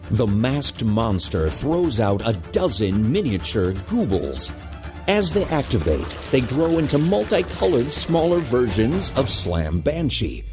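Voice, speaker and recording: A man's voice: mid-range voice, big pitch swings, measured pace. A man reading scripted narration for a superhero audio drama in a dramatic storytelling manner. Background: music.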